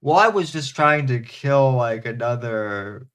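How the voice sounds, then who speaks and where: Man, podcast host, rambling, hesitant, mid-pitched voice. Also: expressive, measured pace, a bit bright. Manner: rambling, hesitant, many restarts and fillers. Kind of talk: casual chat.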